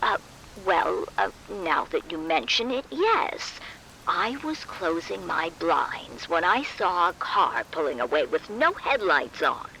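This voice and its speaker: A genteel elderly woman dithers slowly through game dialogue. Her creaky voice is sharp and swings widely in pitch, with ambience behind it. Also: very animated, slight background noise, exaggerated voice, low-quality recording. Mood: hesitant, helpful. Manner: dithering, slow. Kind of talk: video game cutscene dialogue.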